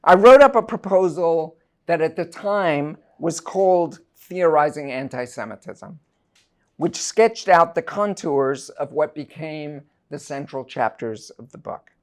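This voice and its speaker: A middle-aged man from South Africa, a university professor delivering a prepared lecture in a measured, deliberate, scholarly way. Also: on the high side. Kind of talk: prepared academic lecture, read aloud.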